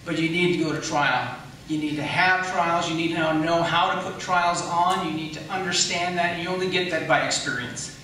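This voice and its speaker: A man's voice: on the high side, steady tone, normal speed, pushed and bright. Firm American man in a cavernous echo. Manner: firm, explanatory, repeats points for emphasis. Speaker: county attorney seeking re-election. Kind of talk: campaign debate speech. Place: echoey hall at a public debate. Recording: very echoey room, distant mic, faint noise.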